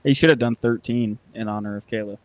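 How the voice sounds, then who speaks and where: A man's voice: lowish voice, steady tone, a little fast, no room sound. A man making sarcastic, profane comments while watching a video on stream. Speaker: stream host. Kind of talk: mocking commentary over a video.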